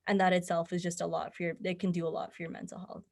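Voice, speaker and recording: A young woman with a slightly low voice that moves little in pitch. She talks conversationally, like a guest answering interview questions, offering advice in an earnest and encouraging manner. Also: little pitch movement, fast, gravelly, darkish tone. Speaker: podcast guest, mental health advocate.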